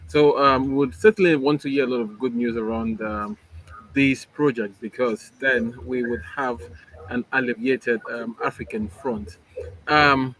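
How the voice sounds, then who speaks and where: A man hosting an online panel over a video call, reading out audience questions in a hesitant way with many pauses. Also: mid-range voice, a bit bright, poor audio. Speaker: host, moderator.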